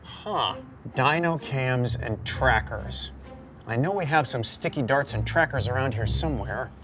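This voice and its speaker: An actor in his forties playing a bumbling, excitable park ranger in a children's live-action show, recorded outdoors. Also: a little slow, lightly acted. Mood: cheerful. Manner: goofy, excitable, lively pitch. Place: outdoors in dry woodland. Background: music.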